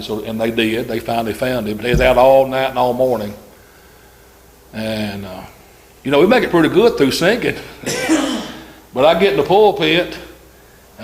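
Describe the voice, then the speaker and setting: A man preaching an informal sermon to his congregation, loud-voiced and folksy, talking in a relaxed conversational way with frequent fillers. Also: mid-range voice, rough recording.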